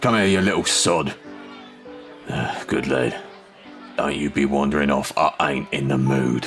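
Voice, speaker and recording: An actor playing a surly, menacing criminal in an audio drama, gruff and curt, with a working-class English accent. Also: male voice, a bass voice, a little slow, a bit bright, soft background music, heavily acted. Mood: gruff, irritable.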